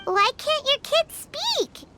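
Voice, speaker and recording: A woman voicing a small, excitable, childlike fairy companion in a video game, squeaky and chatty, exclaiming often. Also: takes it slowly, full character voice. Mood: puzzled. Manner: speaks of herself in third person, exclaims. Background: loud game music.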